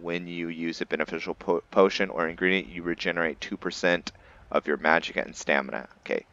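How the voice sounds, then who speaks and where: A man giving casual, improvised game commentary in a halting, think-aloud manner as he narrates a roleplay playthrough. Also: deep voice, fairly even intonation, bright, forceful tone, muffled recording. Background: background game music.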